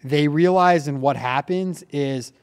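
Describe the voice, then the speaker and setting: A man hosting a casual podcast, telling stories in an animated way with lots of filler words like "like" and "dude.". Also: a little high, unhurried, clear, resonant tone. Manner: animated storyteller, heavy use of "like" and "dude". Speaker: podcast host.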